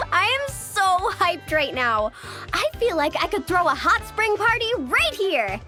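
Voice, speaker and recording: A woman's voice: very high-pitched, big pitch swings, slow pace, music in the background, full character voice. A woman voicing an upbeat, breezy, sporty young woman in a video game, casual and full of enthusiasm. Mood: elated.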